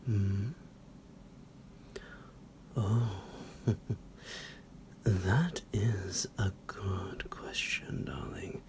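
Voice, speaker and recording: A man playing a centuries-old psychotic vampire captor in an ASMR roleplay, possessive and mock-tender, speaking theatrically in a breathy, gravelly whisper that drops into a low creak. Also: fairly even intonation, a little mellow, slight background noise, full character voice. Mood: playful, menacing. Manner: slow, theatrical, menacingly affectionate, chuckling. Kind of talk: ASMR roleplay addressed to the listener.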